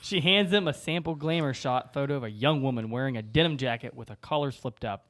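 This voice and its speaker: A man's voice: mid-range voice, fairly quick, fairly bright tone, slight background noise. A man narrating the stage directions at a comedy table read.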